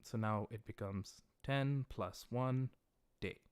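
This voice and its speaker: Man, native English speaker, low voice, dry close sound, patient Japanese teacher slowing down for each word. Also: darkish tone, clear, resonant tone, no room sound. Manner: patient, explains step by step, reads viewer questions aloud; slows down to pronounce Japanese words carefully. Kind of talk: livestreamed language lesson.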